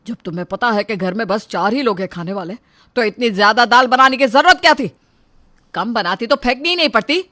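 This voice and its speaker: A woman's voice: fairly high pitch, fairly quick, bright, forceful tone, voice pushed up. A woman voicing an angry, domineering middle-aged shopkeeper father-in-law in a moral story, scolding and accusing. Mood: furious. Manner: scolding, accusatory, self-important. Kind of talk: acted dialogue in a moral story.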